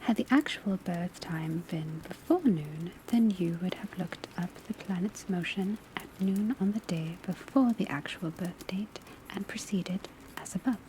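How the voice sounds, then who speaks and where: A studious witch professor, acted by a woman, gives an ASMR classroom lecture in a soft, breathy whisper. Her tone is dark and gentle, and her delivery is very unhurried and measured. Light rain, a crackling fire and pencil scratches sit faintly behind her. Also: fairly low pitch, close to an everyday voice. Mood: calm, methodical. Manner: measured, deliberate, instructional. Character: soft-spoken female witch school divination teacher, patient and studious. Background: crackling fireplace, rain on roof, pencil writing.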